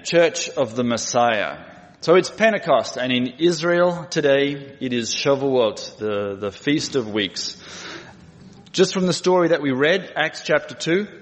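Middle-aged aussie guy giving a chatty sermon, dry humour, long reverb off the hall. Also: medium pitch. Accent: Australian. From Australia. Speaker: guest preacher and missionary. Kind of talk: conversational sermon. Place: large church room.

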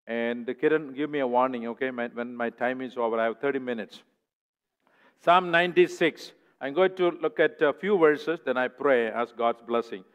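A man from southern India with a South Indian accent, preaching a sermon as a guest in a church in an earnest, halting, conversational way. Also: medium pitch, clean tone. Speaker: guest preacher, ministry president. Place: church sanctuary. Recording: echoey church hall, dull lo-fi sound.

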